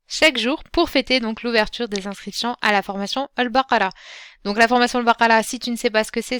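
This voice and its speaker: A woman hosting her own podcast as a Quran teacher. She speaks gently and encouragingly, addressing the listener directly, with frequent fillers and restarts. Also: fairly high pitch, clean tone, dry recording, studio quality. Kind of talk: solo podcast talk.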